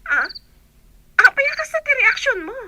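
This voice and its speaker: A woman's voice: extremely high pitch, sing-song, measured pace, heavy background noise, full character voice. A woman acting a teasing, forthright young woman with an Ilocano accent in a radio drama.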